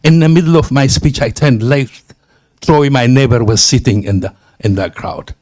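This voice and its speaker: A man with an Ethiopian accent giving an earnest, emphatic public speech at a civic meeting, halting often between phrases. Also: medium pitch, darkish tone, no echo, clean studio sound. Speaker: community member seconding a nomination.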